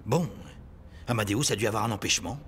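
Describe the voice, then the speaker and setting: A man's voice: lowish voice, fast, background noise, close to an everyday voice. A man voicing a bossy, earnest amateur theatre director in a French cartoon, announcing things self-importantly. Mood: bossy, officious. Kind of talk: French animated series acting.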